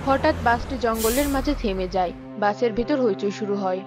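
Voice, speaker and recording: A woman narrator with a slightly high voice reads a scripted story. She tells it in storybook style, with music playing underneath. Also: fairly quick.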